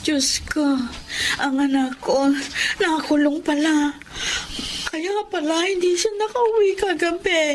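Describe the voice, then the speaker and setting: A woman's voice: sits high, fairly even intonation, unhurried. An actress with a Filipino accent playing a sickly widowed mother in a radio drama, plaintive and pleading. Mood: distressed.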